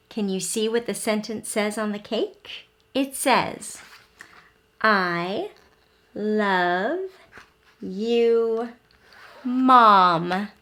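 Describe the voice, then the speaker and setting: A woman hosting an interactive read-aloud for young children, speaking in simple, expressive teacher talk and often pausing to ask the listener questions. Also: on the high side.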